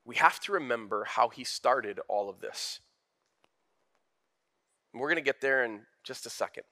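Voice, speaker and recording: Bright-voiced man preaching candidly. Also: medium pitch, expressive, normal speed. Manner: candid, conversational preaching with emphatic rises. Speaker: pastor. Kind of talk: sermon.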